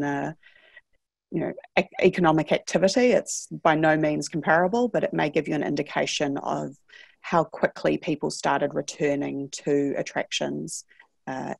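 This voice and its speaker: A woman answering a webinar question in a steady, explanatory way. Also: deep voice, vocal fry, no echo. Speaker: product executive.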